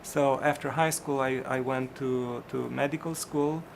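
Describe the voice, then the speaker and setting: Hesitant gravelly man. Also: mid-range voice, steady tone, unhurried, background noise. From Romania. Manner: hesitant, many ums and restarts. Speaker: physician. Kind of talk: career interview answers.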